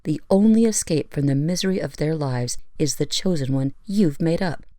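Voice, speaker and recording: A woman voicing a sharp-minded pony analyst, dry and probing, in conversational audio drama dialogue. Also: low voice, expressive, moderate pace, dry recording. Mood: skeptical, probing.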